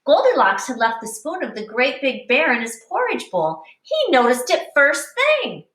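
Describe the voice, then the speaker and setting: Animated woman teacher reading aloud, echoey. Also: high-pitched. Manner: animated, deliberate, explaining to children. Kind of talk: expressive picture-book read-aloud with teaching asides. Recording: echoey room, distant mic.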